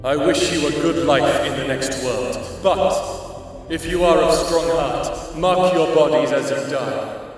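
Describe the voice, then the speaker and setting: An actor playing a brooding, vengeful necromancer in an audio roleplay, speaking solemnly with theatrical pauses. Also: male voice, a little high. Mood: grand, proclaiming. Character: brooding, vengeful male necromancer, grieving and lovelorn. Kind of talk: anime-style audio roleplay acting, dramatic monologue. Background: music.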